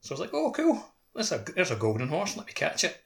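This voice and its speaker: A man's voice: a little high, huge pitch swings, quick pace, vocal fry. A man co-hosting a casual podcast, telling rambling stories full of "like". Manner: rambling storytelling, lots of "like". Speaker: podcast co-host.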